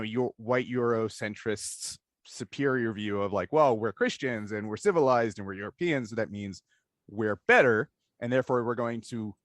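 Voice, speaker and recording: A man hosts an informal discussion interview in a mid-pitched voice. He talks conversationally, rambling and halting as he works out his thoughts. The sound is close and dry, with very little room in it. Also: no room sound. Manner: halting, thinking aloud, rambling. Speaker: interview host.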